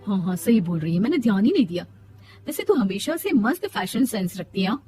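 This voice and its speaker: A woman's voice: medium pitch, big pitch swings, fairly quick, lightly acted. A woman playing a cheerful, friendly young female friend in a cartoon story, chatting casually. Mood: friendly, chatty. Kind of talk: Hindi cartoon moral story acting. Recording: music behind the voice.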